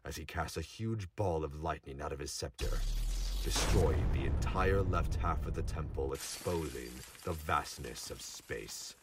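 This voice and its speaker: A man's voice: extremely low pitch, unhurried. A man narrating a scripted audio drama, recorded at some distance from the microphone with noise behind him. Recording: distant mic with background noise.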